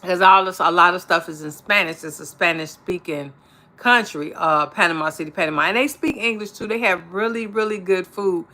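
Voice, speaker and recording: An American woman from Chicago chatting casually to her viewers, telling stories in an animated, informal, slangy way. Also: fairly low pitch, brisk. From Chicago, United States. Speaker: vlogger chatting with live viewers. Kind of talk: casual chat.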